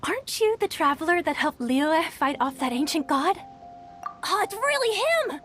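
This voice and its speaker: A woman's voice: very high voice, very animated, moderate pace, fairly bright tone, soft background music, full character voice. A woman playing a flustered, starstruck tournament registrar in a video game.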